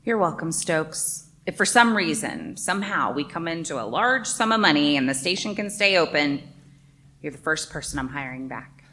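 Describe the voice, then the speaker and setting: A woman's voice: deep voice, animated, normal speed, bright, forceful tone. A woman playing a composed, sympathetic radio station boss in a live radio play. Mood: kindly, measured. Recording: faint background noise, live stage recording.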